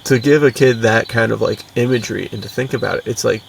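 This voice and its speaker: A man's voice: mid-range voice, fast talker, gravelly. A man chatting casually as a podcast co-host, rambling and enthusiastic, with plenty of slang. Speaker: podcast co-host and fiction writer. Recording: distant mic with faint background noise.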